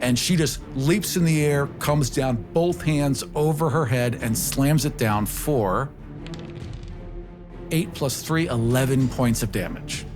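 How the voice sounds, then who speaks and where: A man's voice: medium pitch, takes it slowly, music in the background. A man playing a tabletop game, casually describing his character's moves and rolls. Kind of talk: casual tabletop role-play chat.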